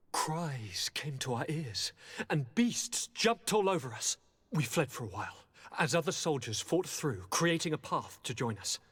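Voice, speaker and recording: Young nobleman, fantasy game, lightly high pitch, husky breath, theatrical swings, wry, courtly, cheeky. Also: fairly bright tone, full character voice. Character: young highborn gentleman, cheeky, blithe, affectionate cousin. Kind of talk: dramatic fantasy game acting.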